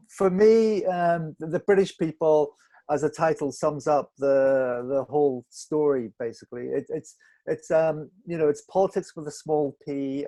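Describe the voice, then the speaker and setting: A man, a film director, speaking casually and haltingly as a panel guest. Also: on the high side, warm tone, dry recording. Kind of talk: casual discussion.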